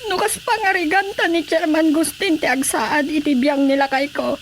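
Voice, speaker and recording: An actress as a wronged wife in a radio drama, with a very high voice and a plaintive, pleading way of talking. She sounds distraught as she wrestles with forgiving. There is faint noise behind her. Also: steady tone, moderate pace, poor audio. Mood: troubled pleading. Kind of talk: Ilocano radio drama acting.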